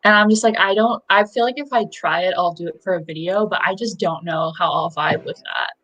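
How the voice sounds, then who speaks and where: A young woman with a slightly low voice chatting casually on a book livestream. She rambles along in an easygoing way, filling her sentences with likes and ums. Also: brisk, a bit bright, dull audio. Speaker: co-host of a readathon livestream. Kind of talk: casual chat.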